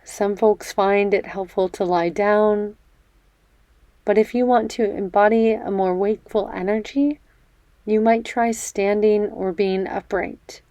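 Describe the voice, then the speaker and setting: A woman guides a meditation at a slow, unhurried pace. Her voice is soft-edged and mellow, with a gentle, soothing delivery. The sound is dry and close, with space left between phrases. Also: mid-range voice, steady tone, no room sound. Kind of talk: guided meditation, body scan.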